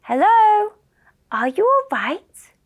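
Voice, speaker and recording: A woman voicing a small, good-hearted fairy in a children's puppet show, with a sweet, earnest and expressive manner. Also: extremely high pitch, takes it slowly, voice pushed up, exaggerated voice. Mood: concerned, calling out. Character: small good-hearted little fairy, gentle and easily startled.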